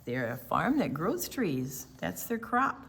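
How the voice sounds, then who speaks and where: An older woman reading aloud and explaining things to young children in a deliberate, teacherly, expressive way. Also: on the low side, gravelly, background noise. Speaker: children's storytime presenter.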